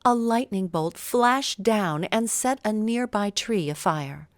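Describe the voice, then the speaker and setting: A woman reading a scripted children's bedtime story with expressive, careful phrasing. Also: mid-range voice, takes it slowly, no echo, studio quality. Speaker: storybook narrator.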